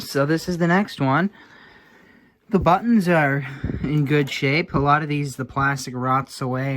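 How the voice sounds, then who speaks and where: A man's voice: medium pitch, a bit bright. A man giving casual, hands-on repair commentary as a hobbyist, thinking aloud in an informal way. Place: indoors.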